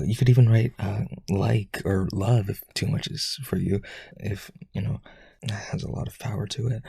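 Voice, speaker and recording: A man playing a powerful, rich vampire aristocrat, desperately devoted, with breathy, raspy, intense and coaxing speech in a roleplay audio. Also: lowish voice, darkish tone, dry recording, full character voice. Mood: desperate, begging. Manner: intense, coaxing, begging, halting.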